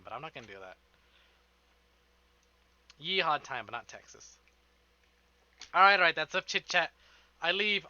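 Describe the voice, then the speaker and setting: A male streamer talks casually to his viewers in a rambling, sweary, informal way. His voice is very bright and forceful, sitting a little high for a man, and the stream audio is slightly dull and band-limited. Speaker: live streamer.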